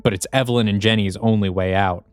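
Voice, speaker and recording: A man's voice: fairly low pitch, steady tone, fast, smooth, no echo, faint music behind. A man narrating a scripted action audio drama with vivid present-tense description.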